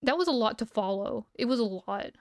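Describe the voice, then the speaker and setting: Woman streamer kinda high voice, bit hoarse, reading stuff off then chatting, dry close mic at home. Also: lively intonation, a little fast, a little mellow, dry recording. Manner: skips text with "blah, blah" asides. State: losing her voice. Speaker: livestreamer. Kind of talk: reading entries aloud, then casual chat.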